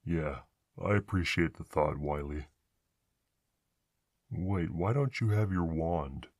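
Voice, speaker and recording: A man playing a shy, gentle, anxious monster with a hesitant, soft-hearted way of talking, in an ASMR audio roleplay. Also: extremely low pitch, slow pace, no room sound, clean studio sound, exaggerated voice.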